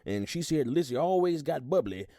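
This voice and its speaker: Folksy retired sergeant, older man, played by an actor, gossipy courtroom witness, animated pitch, dry close recording. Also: normal speed, no echo. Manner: folksy, rambling, repeats words, hums agreement. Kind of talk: audio drama acting, courtroom testimony.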